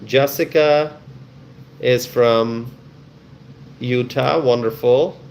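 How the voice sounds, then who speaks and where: A man's voice: medium pitch, fairly even intonation, extremely slow, vocal fry, darkish tone, a little hiss or hum. A man with a Bangladeshi accent hosting a casual live stream, chatting with viewers in a friendly, welcoming way and often repeating himself.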